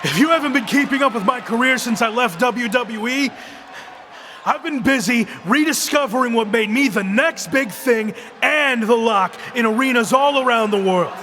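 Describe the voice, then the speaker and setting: A man playing a cocky, determined returning wrestler in a video game, delivering an emphatic and deliberate promo. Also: extremely high pitch. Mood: cocky, boastful. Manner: emphatic, deliberate arena speechmaking. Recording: crowd and arena noise behind. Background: loud arena crowd ambience.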